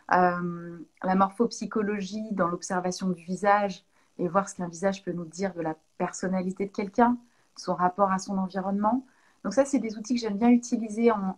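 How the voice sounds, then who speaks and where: A French woman from Lyon in her thirties, a naturopath answering interview questions in a thoughtful way, with pauses and restarts. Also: medium pitch. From Lyon, France. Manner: thoughtful, many hesitations and restarts.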